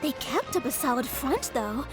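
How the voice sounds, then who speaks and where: Casting: judgmental female gossip for a game, high voice, chatty, music clearly behind. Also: big pitch swings, moderate pace, heavily acted. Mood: gossipy. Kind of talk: video game voice acting.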